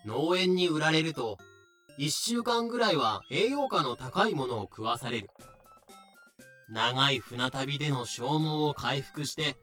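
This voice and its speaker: Male explainer narrator, slightly high, crisp bright tone, measured scripted delivery. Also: lively intonation, soft background music.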